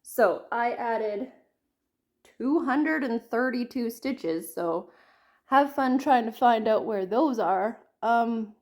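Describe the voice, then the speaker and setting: Chatty woman with a croaky cold voice. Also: on the high side, animated, takes it slowly. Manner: chatty, rambling, apologetic. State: fighting a cold, a little croaky. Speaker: cross-stitch vlogger. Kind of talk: casual chat to camera.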